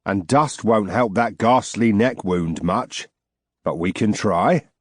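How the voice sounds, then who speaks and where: A man's voice: fairly low pitch, takes it slowly, dry recording, clean studio sound. Man doing a drawling pompous gargoyle of a man. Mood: sneering appraising. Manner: drawling, condescending. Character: short, shrewd, pompous older man.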